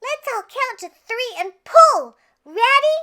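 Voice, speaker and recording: A woman voicing a spirited, excitable little pirate girl in a storybook, loud and rousing. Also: very high-pitched, takes it slowly, voice pushed up, full character voice.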